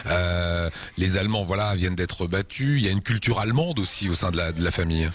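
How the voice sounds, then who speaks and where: Husky-voiced male TV host, brisk questioner. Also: low voice, a bit bright. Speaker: TV interviewer. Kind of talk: TV interview questions.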